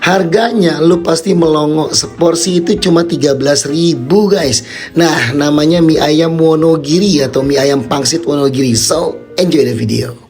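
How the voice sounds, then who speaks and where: A hoarse man, excitedly vlogging about street food outdoors at a stall and calling his viewers "guys". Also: on the high side, normal speed, soft background music. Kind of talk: casual street-food vlog.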